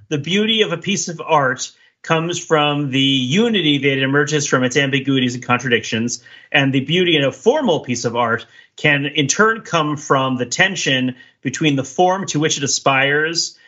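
A man's voice: fairly high pitch, sharp tone, muffled recording. A man co-hosting a podcast, chatting casually and analytically in long, discursive, layered arguments. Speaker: podcast co-host. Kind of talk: casual analytical panel chat about TV.

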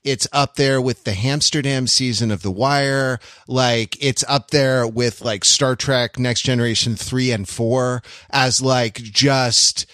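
Middle-aged American male co-host, mid-range voice, dry studio-like sound, enthusiastic but halting and digressive. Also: medium pitch, fairly even intonation, fairly bright tone, no echo. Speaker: podcast co-host. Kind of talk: casual podcast chat.

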